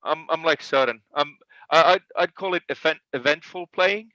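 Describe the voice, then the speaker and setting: A man's voice: on the high side, moderate pace, pushed and bright, dry recording, highs cut off. A man chatting casually on a podcast, stumbling and repeating his words as he goes. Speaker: podcast co-host. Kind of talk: casual chat.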